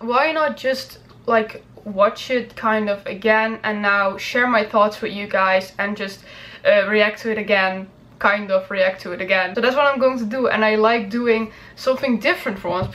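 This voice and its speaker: An enthusiastic woman chats casually to her viewers as the host of a reaction video. Her pitch sits in the middle range, and she talks like a devoted fan. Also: a bit bright.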